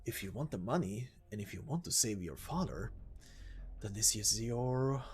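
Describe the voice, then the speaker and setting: A man voicing a sadistic, mock-polite gentleman villain in a game story, savoring his words in a theatrical, drawn-out delivery. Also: fairly low pitch, lively intonation, slight background noise, close to an everyday voice. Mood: coaxing menace. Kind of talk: dramatic game story voice acting.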